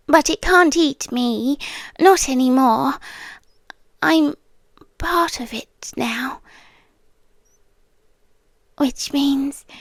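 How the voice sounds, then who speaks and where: Woman acting as possessive demon girlfriend, British accent, high-pitched, whispery, halting, tenderly menacing, very dry close-mic ASMR roleplay. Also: no echo, full character voice. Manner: breathy whispering, halting, drawn-out delivery. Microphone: close, dry ASMR microphone.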